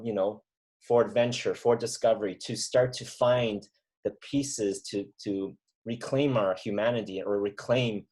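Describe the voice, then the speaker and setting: A man in his thirties from mainland China with a fairly low voice hosts a reflective talk. He speaks earnestly and at an easy pace, thinking aloud and circling back with fillers and restarts. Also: a little mellow. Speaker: podcast host. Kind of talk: reflective conversation.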